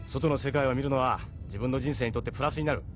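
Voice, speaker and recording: A man's voice: medium pitch, fast, faint music behind, close to an everyday voice. A man voicing a seasoned, middle-aged ship captain in an audio drama, persuasive, frank and worldly. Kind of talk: Japanese audio drama acting.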